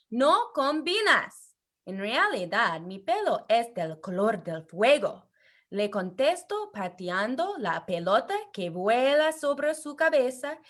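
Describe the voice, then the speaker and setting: A woman co-hosting a children's bilingual read-aloud over a video call, speaking with expressive enthusiasm. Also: fairly high pitch. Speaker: bilingual language teacher and read-aloud co-host.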